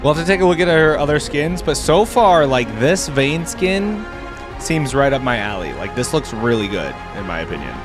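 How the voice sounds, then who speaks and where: A man's voice: a little high, sing-song, normal speed. A man giving casual reaction commentary as a gaming channel host, chatty and thinking aloud. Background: game theme music.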